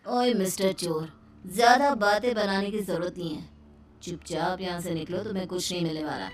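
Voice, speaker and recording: A woman's voice: lowish voice, steady tone, moderate pace, distant mic, lightly acted. A woman voicing a playful, stubborn young daughter-in-law in a Hindi cartoon story who declaims film dialogue. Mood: defiant. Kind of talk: cartoon voice acting.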